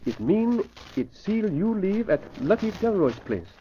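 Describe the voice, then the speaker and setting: High-pitched manservant, clipped broken English. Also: male voice, very animated, measured pace, warm tone, soft background music. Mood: anxious, deferential. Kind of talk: 1940s radio drama acting. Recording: muffled old radio recording.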